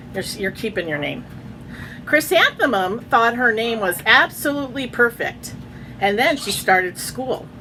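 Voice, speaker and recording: A woman's voice: a little high, a bit bright, slight background noise, poor audio. A woman reading a children's picture book aloud at home, in a sing-song storybook way, chatting to her pet birds between pages.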